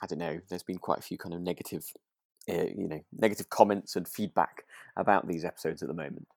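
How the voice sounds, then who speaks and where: A British man co-hosting a casual podcast, speaking in a halting, understated way. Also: sits low, steady tone, gravelly, a little mellow, dry recording, clean studio sound. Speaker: podcast co-host.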